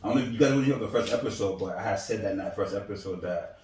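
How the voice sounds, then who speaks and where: A man's voice: on the low side, rapid-fire, crowd noise. A man from Liberia chatting casually on a podcast, rambling loosely and often repeating himself. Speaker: podcast regular. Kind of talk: casual chat. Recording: echoey room, distant mic.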